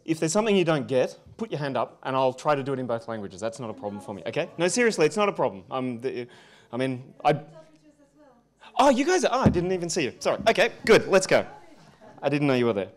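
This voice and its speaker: A man who is not a French speaker, giving a conference presentation as a professor, halting in his phrasing and putting questions to the audience. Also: medium pitch, very animated. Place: conference room before an audience.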